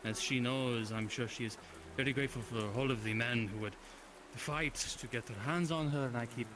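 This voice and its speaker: A man playing a smug, courteous aristocratic slave-owning lord in a fantasy roleplay, speaking in a measured, dismissive way. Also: mid-range voice, lightly acted. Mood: smugly polite. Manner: measured, haughty, politely dismissive. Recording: rain noise behind the voice.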